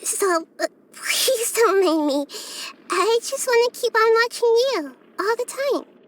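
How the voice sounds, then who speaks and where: A woman voicing a childlike girl god of wisdom for a video game, gentle, curious and thoughtful, speaking softly and carefully. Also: extremely high pitch, lively intonation, a little slow. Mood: shy, curious. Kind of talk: scripted video game character voice lines.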